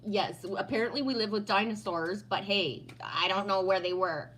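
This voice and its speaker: A woman's voice: mid-range voice, normal speed, crowd noise, low-quality recording. A woman in her fifties from Texas, hosting a casual livestream, teasing playfully, laughing often and reading out chat comments. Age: 50s.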